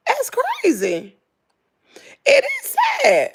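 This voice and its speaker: A middle-aged woman with a Southern American accent, hoarse, chatting casually and gossiping with her viewers in a dramatic way. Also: extremely high pitch, wildly swooping pitch, extremely slow. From Southern United States. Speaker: vlogger.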